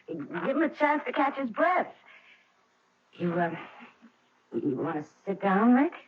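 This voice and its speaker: An actress playing a fussing, solicitous wife and mother, nervously polite and gently nagging, in a vintage radio drama. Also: fairly high pitch, sing-song, takes it slowly, slightly rough, full character voice. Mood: awkwardly polite. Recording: noisy, muffled old radio recording.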